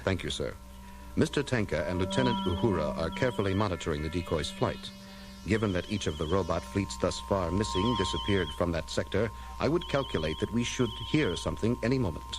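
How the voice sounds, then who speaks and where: Alien science officer: low-pitched, brisk, formal and logical, vintage space drama. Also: male voice, animated, very noisy. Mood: composed. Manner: formal, precise. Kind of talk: 1970s audio drama acting. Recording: vintage 1970s record, loud music behind.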